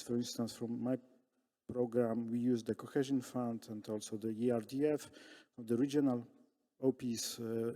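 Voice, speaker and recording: A man with a Polish accent answering as a conference panelist, halting with many fillers and restarts. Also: mid-range voice, dark tone. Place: large conference hall. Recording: long hall reverb.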